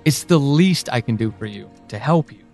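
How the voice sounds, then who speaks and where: An actor playing an anguished, pleading, devoted man in an audio drama monologue, speaking haltingly and emotionally. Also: medium pitch, lively intonation, darkish tone, faint music behind, natural voice. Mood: apologetic, tender. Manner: halting, hesitant, emotional, with sighs and pauses.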